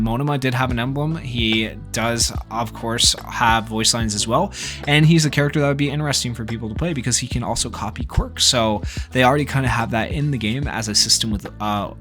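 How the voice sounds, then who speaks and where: A man with a mid-pitched voice delivers gaming news in the casual style of a YouTuber. He talks informally and speculatively, rambling through his thoughts as he goes. Music plays behind his voice. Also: fairly quick, a bit bright. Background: background music.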